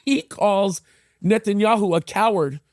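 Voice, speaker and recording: The higher-pitched male host across the table in a close, dry podcast studio, pressing his points in stops and starts. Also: measured pace, a little mellow, no echo. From United States, Egyptian parents. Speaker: interview host. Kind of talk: conversational interview, giving opinions.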